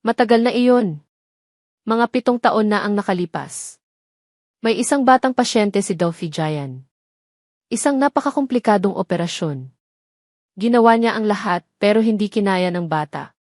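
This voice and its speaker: A woman voicing a kindly, sympathetic elderly head nurse in an audio drama, soft-spoken and confiding. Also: mid-range voice, expressive, normal speed, pauses often, no room sound, studio quality, close to an everyday voice. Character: gentle elderly female head nurse, sympathetic, near retirement.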